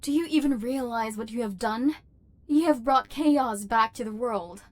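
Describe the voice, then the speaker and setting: A woman's voice: fairly high pitch, a little slow, no room sound, close to an everyday voice. A woman voicing an imperious robot overlord who despises humans, speaking in formal, machine-like phrases in an ASMR roleplay. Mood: haughty, threatening. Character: haughty, human-hating female robot overlord, secretly lonely. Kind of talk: ASMR roleplay, dramatic villain monologue.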